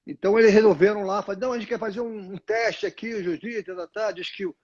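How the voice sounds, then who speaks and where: Husky older Brazilian man on a phone call. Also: sits high, steady tone, fast talker, a little mellow. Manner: rambling storyteller, many restarts and "né?" tags. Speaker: guest, retired fighter. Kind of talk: casual live interview. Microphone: phone on a tripod. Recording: dull lo-fi phone audio.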